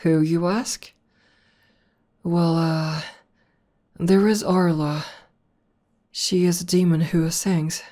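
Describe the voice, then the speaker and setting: Woman voicing a low, slow, mellow tomboy demoness. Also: steady tone. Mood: calm, boastful. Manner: unhurried, hesitant pauses, conversational. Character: buff tomboy demoness girlfriend, proud battle legend, calmly affectionate. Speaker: ASMR roleplay voice actor. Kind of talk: slow intimate ASMR roleplay.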